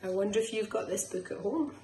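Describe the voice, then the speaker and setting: A woman's voice: mid-range voice, fast talker, warm tone. A middle-aged Scottish woman reading a picture book expressively to young children in a rhythmic, sing-song way, like a nursery teacher. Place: small living room, indoors. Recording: roomy echo, faint background noise.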